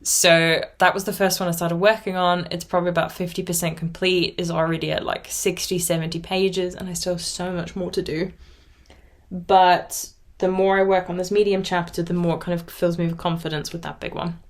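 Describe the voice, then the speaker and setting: A woman's voice: lowish voice, level tone, fairly quick. A woman around thirty, originally from Austria with German as her first language, chatting casually and at length as a knitting podcast host. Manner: rambly, chatty, self-reflective. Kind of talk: casual vlog chat.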